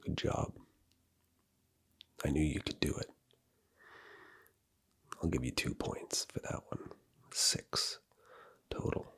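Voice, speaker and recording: Whispering deep-voiced man giving commands. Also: steady tone, slow, pauses often, a bit bright, breathy edge. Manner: commanding and teasing, giving orders and points. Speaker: ASMR roleplay creator. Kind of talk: whispered ASMR roleplay.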